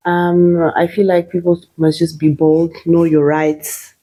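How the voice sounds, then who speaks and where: Low, mellow-voiced woman from Zimbabwe. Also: flat delivery, unhurried. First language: Shona. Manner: hesitant, many fillers and restarts. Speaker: guest. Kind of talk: interview.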